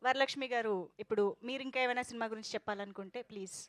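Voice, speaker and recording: A woman with a South Indian accent hosts a press meet in a high voice with a dry, close sound. Her style is informal and conversational. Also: steady tone, very fast, no room sound. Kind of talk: informal event hosting.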